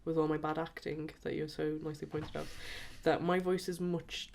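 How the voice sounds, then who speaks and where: A woman's voice: low voice, level tone, normal speed, creaky, darkish tone, slight background noise. A woman guesting on a friend's podcast, bantering playfully and chatting casually while she has a cold. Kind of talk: casual chat.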